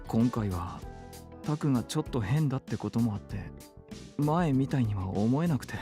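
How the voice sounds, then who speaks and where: An actor playing a worried, gossipy clinic colleague with soft feminine phrasing in a Japanese visual novel. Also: male voice, mid-range voice, lively intonation, quick pace, faint music behind, close to an everyday voice. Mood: worried, uneasy.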